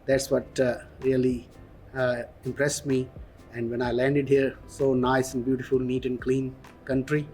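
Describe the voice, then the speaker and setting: A man speaks at a middle pitch in a very flat, even tone, with a formal, earnest manner. Faint music plays underneath. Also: a little slow, rough recording. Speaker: interviewee. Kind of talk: personal interview. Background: background music.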